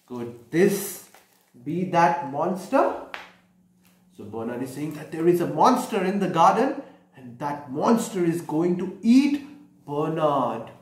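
A man's voice: a little high, big pitch swings, slow pace, darkish tone. A man in his thirties reading a picture book aloud to children, explaining it simply and asking lots of questions. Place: small bedroom, indoors. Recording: echoey room.

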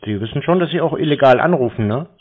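Man, mid-pitched, sardonic and confrontational, needling callers over a narrow, muffled phone line. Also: expressive, fast, a crowd in the background. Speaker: call recipient confronting scam callers. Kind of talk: phone conversation, baiting cold callers.

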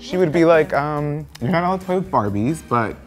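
A man's voice: fairly high pitch, expressive, a little fast. A man in his twenties bantering playfully as a grandson, switching between two languages. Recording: faint music behind the voice.

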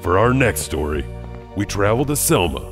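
Low-voiced man narrating over loud music. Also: sing-song, normal speed. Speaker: narrator and host of an urban legend anthology. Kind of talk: scripted spooky storytelling narration.

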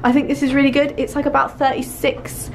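A woman's voice: sits high, level tone, a little fast, creaky, a little hiss or hum. A young British woman chatting informally to camera as a fashion vlogger, repeating words for emphasis while trying on clothes. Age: young adult. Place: small clothing store fitting room. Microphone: handheld vlogging camera.

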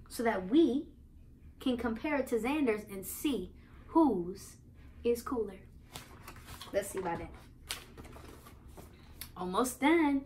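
High-voiced American woman reading to kids. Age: young adult. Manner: expressive, addresses child listeners with questions. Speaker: children's read-aloud host. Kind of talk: animated picture-book reading and explaining to young children. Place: living room, on a couch.

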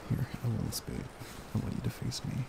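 A young man performing soft, intimate ASMR as a gentle boyfriend, speaking in a hushed, tender, coaxing way. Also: medium pitch, fairly quick. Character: gentle protective boyfriend soothing partner to sleep. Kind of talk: soft intimate ASMR sleep-aid roleplay. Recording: clear background noise, ambient hiss.

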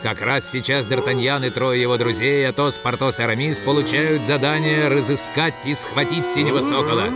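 A man giving measured, scripted narration for a dubbed cartoon episode. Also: mid-range voice, music in the background.

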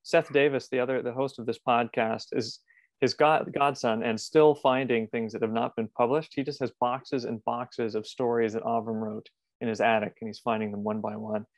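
A man's voice: mid-range voice, steady tone, normal speed, no echo. A man in his thirties chatting casually as a podcast co-host on a video call. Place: room at home, video call.

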